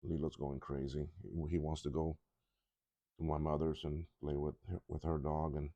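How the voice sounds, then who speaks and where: A man talking casually through a soldering job at his workbench, halting and rambling, his voice a little congested from a cough. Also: a bass voice, flat delivery, mellow, no room sound. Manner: rambling, halting, with many restarts and ums. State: congested, slightly rough from a cough. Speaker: electronics repair hobbyist.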